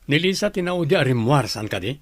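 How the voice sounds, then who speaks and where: A man's voice: fairly high pitch, sing-song, a little fast, no room sound. A man playing a chatty, nosy Ilocano villager in a comedy radio drama. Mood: gossipy.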